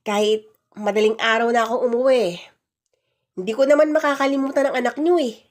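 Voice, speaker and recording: A woman acts out a cocky married man in a radio-style story. The voice is high-pitched, with boastful, casual talk meant for drinking buddies. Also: fairly even intonation, fairly quick, natural voice. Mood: dismissive.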